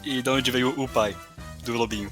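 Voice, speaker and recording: A man's voice: mid-range voice, steady tone, normal speed, a bit bright, soft background music. A man with a Brazilian accent in casual podcast banter, teasing his co-host with mock questions. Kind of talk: casual chat looking back on a role-playing campaign.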